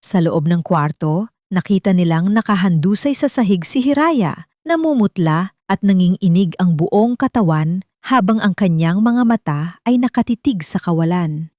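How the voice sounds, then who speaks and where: A woman narrates an audio drama with a dramatic, scripted delivery. Her pitch is medium for a woman. The recording is very dry, with hardly any room in it. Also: animated, normal speed, warm tone, dry recording.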